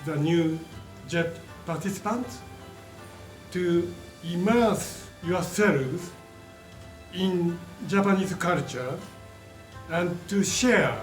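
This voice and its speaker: A man giving a formal address to new program participants, speaking slowly and deliberately. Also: sits high, soft background music. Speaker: event speaker. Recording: echoey, distant hall.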